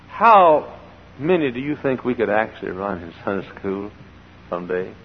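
An older male pastor preaches in a gravelly, husky voice. He hollers, then drops to quiet storytelling, and he repeats his phrases to drive the point home. The old recording sounds dull, with long reverb in a church sanctuary. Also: on the low side. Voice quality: gravelly, froggy voice. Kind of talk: sermon.

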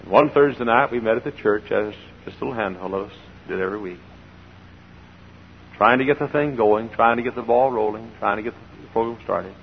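Gravelly older preacher, echoing church. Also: male voice, lowish voice, brisk, a little hiss or hum. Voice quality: gravelly, froggy voice. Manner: swings from hollering exhortation to quiet storytelling, repeats phrases for emphasis. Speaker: pastor. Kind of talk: sermon. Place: large church sanctuary. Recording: dull old recording in a reverberant church.

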